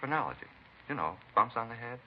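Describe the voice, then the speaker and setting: A man's voice: mid-range voice, expressive, fast talker, lightly acted. An actor playing a beaten, talkative American truck driver in a vintage radio drama, rambling and folksy in plain working-man talk. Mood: confiding, opinionated. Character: beaten truck driver, talkative, folksy, eccentric self-styled bump-reader. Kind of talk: 1940s-50s radio acting. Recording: muffled vintage radio recording, faint hiss.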